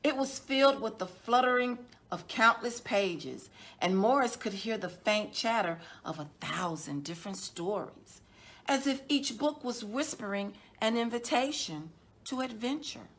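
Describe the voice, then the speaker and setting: Slow, higher-voiced woman reading a storybook. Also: animated, a bit bright. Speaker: children's librarian and storytime host. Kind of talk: storybook read-aloud for children. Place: indoors, small decorated room.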